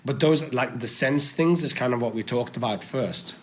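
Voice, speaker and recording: A man with a cold and a hoarse voice, thinking aloud as a guest in a podcast conversation, with many restarts. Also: mid-range voice, normal speed, a little hiss or hum. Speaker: guest, performer.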